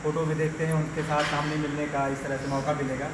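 A man, a gym trainer, introducing himself politely and formally, with hesitant pauses. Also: a little high, flat delivery, far from the mic. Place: large indoor gym. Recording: roomy hall with loud noise.

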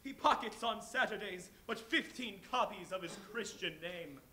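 A man's voice: sits high, lively intonation, pushed and bright, slight background noise. A man as a stern, solemn Christmas spirit. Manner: grave, measured, declamatory. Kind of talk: live stage reading.

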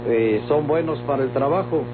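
An actor playing a condescending, commanding hacienda boss with a Mexican accent in an old radio drama. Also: male voice, fairly high pitch, moderate pace, background noise, close to an everyday voice. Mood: curt, condescending. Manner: curt, commanding. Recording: tinny old radio recording, loud music.

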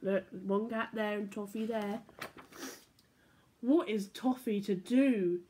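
A young woman reading picture books aloud to children from her living room, playful and expressive. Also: medium pitch, slow pace, slight background noise. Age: young adult. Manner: animated, playful read-aloud with sound effects. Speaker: church children's storyteller. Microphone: laptop or phone camera at arm's length.

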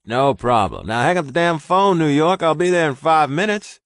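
A man voicing a gruff, commanding adult male wolf shifter who speaks loud and husky. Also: sing-song, normal speed, no room sound, clean studio sound. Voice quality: deep husky voice.

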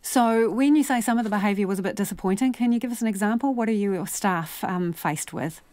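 A woman's voice: mid-range voice, fairly quick, a little mellow, dry recording. A woman presenting a live radio news interview from a studio, putting direct, probing questions. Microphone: studio microphone.

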